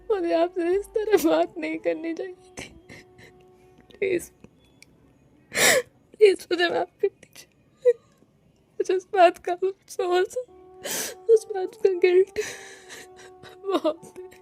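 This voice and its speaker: A young woman, crushed by guilt, begs through tears in a high, gravelly voice, as a woman reading the story puts her on. She speaks slowly and her words break apart as she pleads. Soft music plays faintly under her. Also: full character voice. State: crying. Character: heartbroken young woman sobbing apologies.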